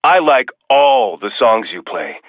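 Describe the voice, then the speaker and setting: Game radio caller: smitten superfan, earnest, very lively pitch, dull lo-fi line. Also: male voice, measured pace, husky, no echo, exaggerated voice. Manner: adoring, earnest. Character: smitten, gushing male fan of the DJ. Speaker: radio call-in listener. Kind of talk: scripted game radio call-in. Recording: muffled radio call-in line.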